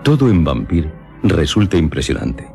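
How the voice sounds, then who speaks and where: A man reading scripted radio narration in a solemn, measured storytelling manner. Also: extremely low pitch, big pitch swings, gravelly, mellow. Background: music behind the voice.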